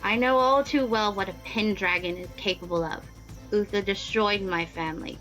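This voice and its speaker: A woman's voice: medium pitch, normal speed, a bit bright, soft background music, close to an everyday voice, low-quality recording. A woman playing an earnest, determined noblewoman in a radio play, speaking seriously and urgently. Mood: grave, urgent. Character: earnest, determined noblewoman seeking revenge and alliance.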